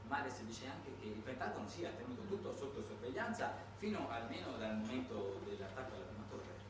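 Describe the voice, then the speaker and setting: A man performing a dramatic storytelling monologue, emphatic and sardonic, with halting pauses. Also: mid-range voice, lively intonation. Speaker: stage monologue performer and narrator. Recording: echoey room, distant mic, noisy background.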